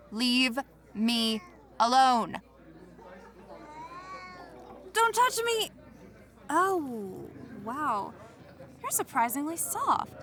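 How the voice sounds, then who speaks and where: A woman's voice: high voice, sharp tone, heavily acted. An actress playing an exasperated young woman in a comedic audio drama, dramatic and whiny. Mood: exasperated, pleading.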